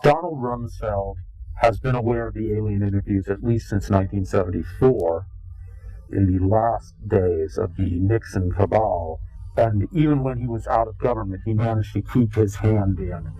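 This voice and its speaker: A man giving interview testimony in a grave, deliberate, halting and portentous way. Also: lowish voice, warm tone, breathy edge, no room sound, lo-fi sound. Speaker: interviewee, claimed insider. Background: music.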